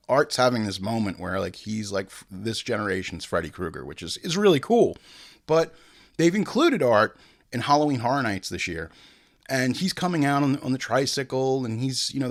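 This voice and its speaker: Guy in his 40s, kinda low voice, swings around a lot, chatty podcast host telling stories. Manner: animated, slangy storytelling with acted-out quotes. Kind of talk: casual solo podcast talk.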